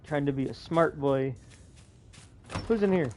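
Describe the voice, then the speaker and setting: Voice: mid-range voice, a little slow, darkish tone, slight background noise. A game player giving casual, slangy, laid-back playthrough commentary on a lo-fi mic. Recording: dull lo-fi mic, faint music.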